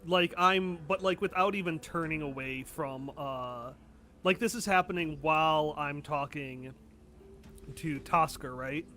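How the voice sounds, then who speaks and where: A man's voice: a little high, a little slow, sharp tone, a little hiss or hum. A man playing a tabletop game, talking casually and jokingly as he describes his actions.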